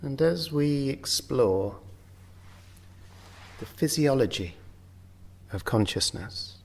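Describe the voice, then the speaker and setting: A man leading a guided yoga nidra meditation, speaking gently in short phrases with long, unhurried pauses. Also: mid-range voice, animated, creaky. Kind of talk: guided meditation, slow spoken relaxation instruction.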